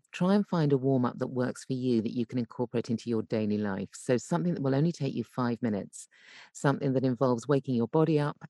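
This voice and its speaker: A woman's voice: a bass voice, dark tone, dry recording, clean studio sound. A woman giving tips as a podcast guest in casual, chatty and emphatic conversation. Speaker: podcast guest, voice actor and coach.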